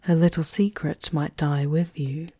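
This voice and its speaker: A woman acts a composed High Enchantress in a gothic ASMR tale. Her voice is very low and she takes her time, formal and knowing. It is recorded dry and close. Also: steady tone, measured pace, mellow, breathy edge, no echo. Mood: grave, confiding. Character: shrewd, composed female High Enchantress advising a vampire countess. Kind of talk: ASMR roleplay acting.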